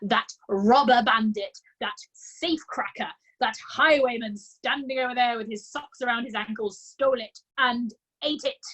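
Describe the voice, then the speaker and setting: Woman doing a big bossy headmistress, barking, yelling, loud and high, a bit breathy. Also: moderate pace, hardly any pauses, poor audio. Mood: furious. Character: tyrannical bullying female headmistress, booming.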